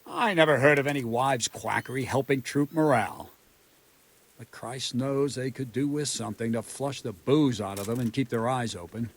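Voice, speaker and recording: A man's voice: medium pitch, normal speed, slight background noise, lightly acted. A man acting a grumbling, hard-bitten medieval knight in a video game, blunt and scornful. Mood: stern, scornful.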